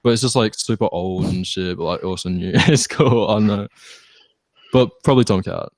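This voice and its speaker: Young Australian male, lowish pitch. Hesitant, rambling, casual. Dry, close recording. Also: normal speed, no echo. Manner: hesitant, rambling, heavy use of "like". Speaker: band member guest. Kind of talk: casual chat.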